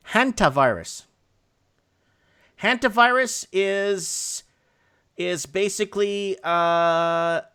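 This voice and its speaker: A man with a slightly high, bright and forceful voice hosts a casual live talk show. He speaks bluntly and puts firm emphasis on his points. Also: clean tone. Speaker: host of a live call-in night show.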